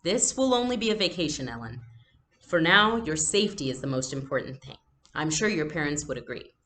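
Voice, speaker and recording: A woman voicing a protective, decisive storybook father who speaks earnestly and deliberately. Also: sits low, sing-song, fairly bright tone, a little hiss or hum. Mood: earnest, firm.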